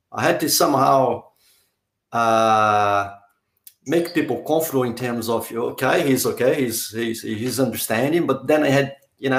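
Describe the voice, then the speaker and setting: A man talks through an interview in a halting way. He keeps restarting his sentences while he searches for the right word. His mid-pitched voice carries a roomy echo. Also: gravelly. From Brazil. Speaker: guest. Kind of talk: conversational interview.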